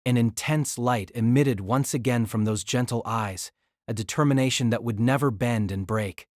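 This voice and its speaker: A woman narrating a novel in steady storytelling, also voicing the male lead's lines. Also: a bass voice, moderate pace, no room sound, studio quality.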